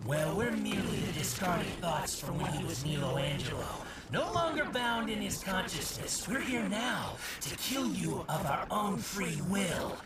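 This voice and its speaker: Theatrical taunting demon bird, wildly swooping. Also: male voice, high voice, sing-song, heavy background noise. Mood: gloating. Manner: theatrical, taunting, drawn-out delivery. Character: cocky talking demon bird, dying nightmare familiar. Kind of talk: video game cutscene acting. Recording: loud music, distant voice.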